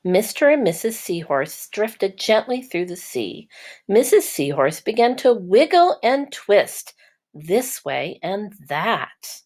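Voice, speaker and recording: Slow-paced woman teacher reading a storybook. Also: mid-range voice, very animated, a little mellow. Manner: measured, explanatory, addressing young children. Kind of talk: children's storybook narration.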